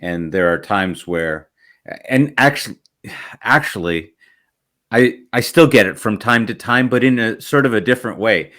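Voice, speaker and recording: A man's voice: fairly low pitch, expressive, normal speed, fairly bright tone. A man hosting an interview, asking questions in a hesitant way, with many restarts. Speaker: podcast host, interviewer. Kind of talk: casual interview conversation.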